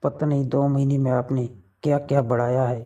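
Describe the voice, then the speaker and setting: A man's voice: medium pitch, little pitch movement, brisk, warm tone. A man in a casual, intimate phone conversation, teasing and probing with questions, recorded muffled and distant.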